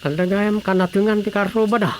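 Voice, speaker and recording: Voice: mid-range voice, a little fast, a little hiss or hum, lightly acted. An actor playing a village councilor with an Ilocano accent in a radio drama comedy. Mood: reporting. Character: village councilor reporting on neighbourhood thefts.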